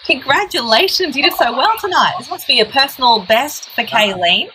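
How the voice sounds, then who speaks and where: A woman's voice: high-pitched, lively intonation, moderate pace, sharp tone, a little hiss or hum, poor audio. An Australian woman hosting a casual online chat with an upbeat questioning manner. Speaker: host.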